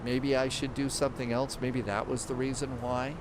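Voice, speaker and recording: A man's voice: medium pitch, flat delivery, moderate pace, heavy background noise. A man hosting a live flight-sim stream, reading viewer comments and rambling in a casual, thinking-aloud way. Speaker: livestream host. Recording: clear background music.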